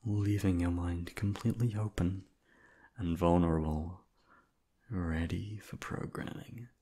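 A man playing a seductive, teasing, dominant hypnotist coworker in an ASMR roleplay, with a deep, breathy, hushed voice. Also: fairly even intonation, unhurried. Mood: hypnotic, hushed.

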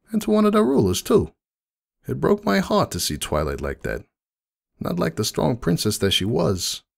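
A man voicing a grieving, kindly cartoon pony cafe owner in an emotional, halting dramatic monologue. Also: medium pitch, gravelly, darkish tone, full character voice. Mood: heartbroken. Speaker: dramatic reader of fan fiction. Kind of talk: dramatic first-person monologue reading.

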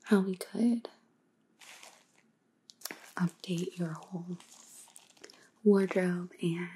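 Aloof trendy young housemate played by a woman, ASMR, mellow unhurried drawl, some hiss. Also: lowish voice, fairly even intonation, poor audio. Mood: bossy, casual. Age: young adult. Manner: casual valley-girl drawl full of "like". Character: aloof, trend-obsessed young female housemate among vampires. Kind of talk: ASMR roleplay.

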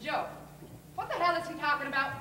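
A woman's voice: sits high, brisk, close to an everyday voice. A woman on stage playing a feisty, bossy diner waitress who talks in a sassy, folksy, scolding way. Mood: angry scolding. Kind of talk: amateur stage play acting. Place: theatre stage, far camera. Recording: echoey theatre hall from far off, noisy.

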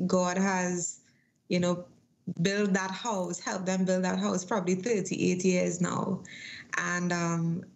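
A young woman from Trinidad with a Trinidadian accent, sharing her life testimony in a soft, measured way over a video call from home. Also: on the low side, vocal fry, dull audio. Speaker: guest.

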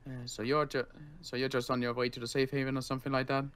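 Worn-out, grudging male survivor for game roleplay, man acting; medium pitch, dry, halting delivery. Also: level tone, fairly bright tone, clear, resonant tone, natural voice. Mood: weary, grudging. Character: weary, grudging injured male survivor of a zombie apocalypse. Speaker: roleplay player.

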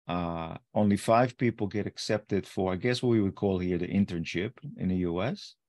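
A man's voice: low voice, moderate pace, a little mellow, no echo. A man hosting a podcast, rambling and rephrasing his questions to a guest over a video call. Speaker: podcast host. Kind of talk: interview questions.

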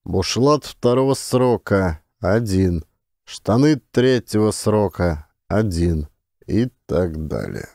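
A man narrating an audiobook in a measured, ironic storytelling manner. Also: low-pitched, expressive, creaky, a little mellow, dry recording, studio quality.

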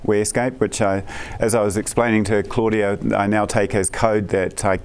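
A man's voice: fairly low pitch, flat delivery, normal speed, clear, resonant tone. A man with a New Zealand accent introducing himself as company head with dry humor. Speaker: company CEO presenter. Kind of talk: self-introduction at a briefing.

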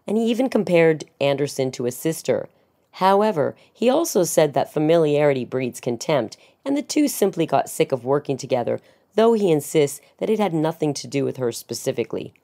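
A woman's voice: low-pitched, very animated, a little fast, dark tone. A middle-aged woman reading scripted celebrity news as a voice-over narrator.

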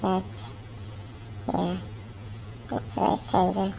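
A man speaking through a pitch-raising voice changer, halting and hesitant. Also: completely flat delivery, gravelly, warm tone, a little hiss or hum, exaggerated voice. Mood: testing. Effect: voice changer pitch shift.

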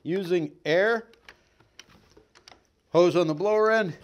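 A man's voice: sits high, slow. A middle-aged man giving a folksy, upbeat product demonstration as a sales trainer, joking as he handles the equipment in a staged living room. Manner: folksy, upbeat, jokey patter while handling gear.

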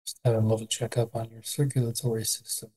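A meditation guide with an adult voice, leading a body scan in a calm, unhurried, gentle way with pauses. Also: mid-range voice, breathy edge.

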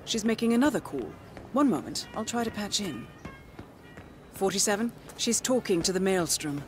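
An actress playing a composed intelligence handler in a video game, briefing an agent in measured, articulate phrases. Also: female voice, mid-range voice, lively intonation, faint music behind, natural voice. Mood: composed, alert. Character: composed female intelligence handler guiding an assassin. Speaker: mission handler. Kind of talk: scripted video game dialogue.

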